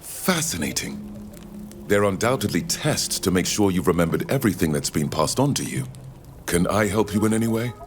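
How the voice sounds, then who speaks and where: An actor playing a courteous, reflective older naturalist in a video game, speaking in a measured, formal way. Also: male voice, deep voice, expressive. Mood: courteous, interested. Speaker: scholar from the continent. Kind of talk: scripted video game dialogue. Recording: music behind the voice.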